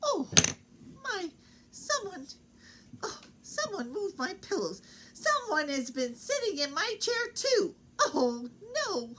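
A woman's voice: extremely high pitch, very animated, takes it slowly, background noise, voice pushed up, exaggerated voice. A woman voicing a fussy, fretful mama bear. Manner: fretful, fluttery.

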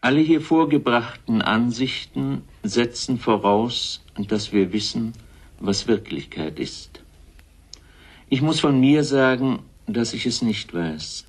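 A man giving a measured literary reading in a sober, deliberate way. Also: medium pitch, lively intonation, breathy edge.

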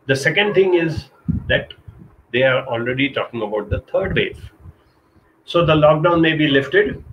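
A middle-aged man from India giving an earnest motivational talk over a webcam from a small curtained room, slowing down to stress key points. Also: mid-range voice, fairly bright tone, a little hiss or hum, rough recording. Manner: earnest, urging, slows down for emphasis. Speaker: counselor giving a live talk. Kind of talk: motivational live-stream talk. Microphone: webcam video call.

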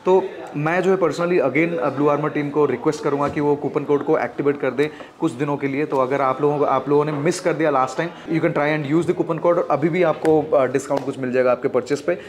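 A man's voice: a little high, steady tone, a little fast, crowd noise. An Indian man in his thirties with an Indian accent, giving an informal, explanatory product review to camera and mixing in English. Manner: explanatory, informal, addressing viewers as friends. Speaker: video host and product reviewer. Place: indoors at a wooden table. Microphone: clip-on wireless lapel mic.

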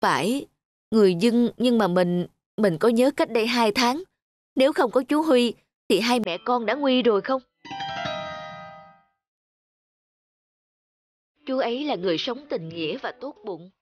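A woman playing a compassionate young village mother in a cartoon, earnest, expressive and pleading. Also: fairly high pitch, lightly acted. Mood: tearful pleading. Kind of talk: cartoon voice acting.

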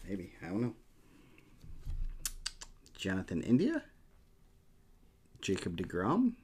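Man chatting casually, lively pitch swings. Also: slow pace, breathy edge. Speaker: host of a card-opening show. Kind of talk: casual chat while showing cards. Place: small room at a desk, indoors.

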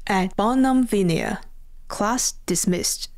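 A woman's voice: mid-range voice, slow. A woman playing a composed female teacher of a combat class in a scripted audio drama. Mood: matter-of-fact. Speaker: voice actor in audio roleplay.

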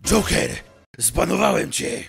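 A man playing a grumpy, self-important masked vigilante in a comedy fan dub, gruff and indignant. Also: high-pitched, big pitch swings, unhurried, exaggerated voice. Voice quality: gravelly, forceful.

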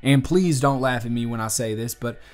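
An earnest, charming young bartender, acted by a man, speaks with a Southern US accent in a medium-pitched male voice. He is blunt yet sweet-talking, as in an intimate romantic audio drama. Music plays beneath his words. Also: moderate pace, natural voice. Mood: earnest, admiring. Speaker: audio roleplay performer. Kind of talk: intimate audio roleplay acting. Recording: music playing under the voice. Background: acoustic music.

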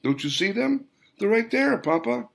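A man's voice: very high-pitched, sing-song, moderate pace, creaky, a bit bright, pitched above the performer's own voice, heavily acted. A man voicing an earnest, insistent five-year-old boy in a storybook narration.

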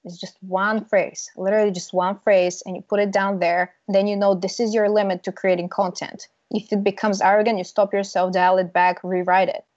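A woman's voice: medium pitch, flat delivery, normal speed, few pauses, a little mellow, dry recording. A confident young woman from Bulgaria, a branding consultant speaking as a guest in a casual video-call interview, talking emphatically. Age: young adult. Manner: confident, emphatic, laughs now and then. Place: small room indoors. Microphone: earbud mic on video call. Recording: dull lo-fi video call audio.

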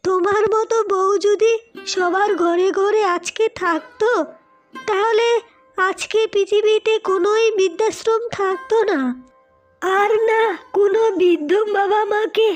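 A woman voicing a frail, gentle old mother-in-law in a cartoon tale, beseeching and devout. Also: very high-pitched, steady tone, unhurried, soft background music. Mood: grateful, blessing. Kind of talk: Bengali cartoon fairy-tale voice acting.

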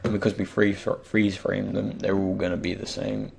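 A young man with a croaky voice, just woken up, thinking aloud with many pauses as he talks through a software tutorial. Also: lowish voice, completely flat delivery, noisy background. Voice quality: croaky, gravelly. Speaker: tutorial creator. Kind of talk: screen-recorded software tutorial.

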